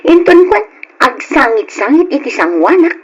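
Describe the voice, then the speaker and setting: An aggrieved young wife and mother in an old Ilocano radio drama. Her voice is high and nagging, captured with the sound of old radio. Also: big pitch swings, normal speed, lo-fi sound.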